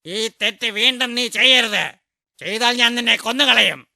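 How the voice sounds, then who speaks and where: An aggrieved mother crow from an animated moral tale, played by a woman. The voice is piercingly bright, emotional and protesting, recorded close and dry. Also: fairly high pitch, normal speed, gravelly, dry recording, heavily acted. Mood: indignant. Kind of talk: animated children's moral story.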